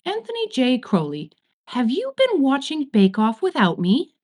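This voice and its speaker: A woman's voice: high-pitched, wildly swooping pitch, measured pace, a little mellow, pitched above the performer's own voice, full character voice. A woman voicing a fussy, old-fashioned male angel with prim, formal phrasing in a story reading. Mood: suspicious, indignant.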